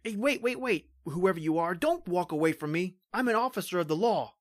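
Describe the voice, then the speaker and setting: A woman's voice: on the low side, lively intonation, brisk, dry recording, clean studio sound, natural voice. A woman playing a stoic, protective male police officer in an old-time radio horror drama, brusque and melodramatic. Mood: commanding.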